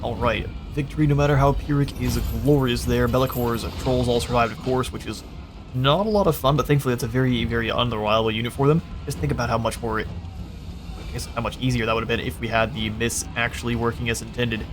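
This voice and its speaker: A man's voice: mid-range voice, fairly even intonation, quick pace, over music. A man casually commenting on his own strategy game battle, thinking aloud as he gives orders to his units. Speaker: gaming channel host.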